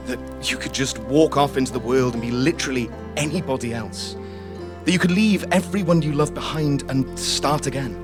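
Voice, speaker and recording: An actor playing an earnest, wistful narrator in an audio drama monologue, speaking in a reflective, deliberate way. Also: male voice, mid-range voice, lightly acted. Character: earnest, wistful male narrator musing on being lost.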